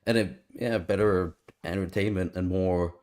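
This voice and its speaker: Low-voiced male co-host, rambling casual chat on a video call. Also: fairly even intonation, a little slow, a little mellow. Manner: rambling, many fillers.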